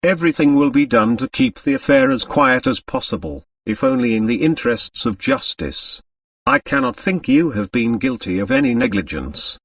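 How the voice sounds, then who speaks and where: A man voicing a brisk, methodical French police commissary in an audiobook, official and reassuring. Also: medium pitch, sing-song, no room sound, distant mic.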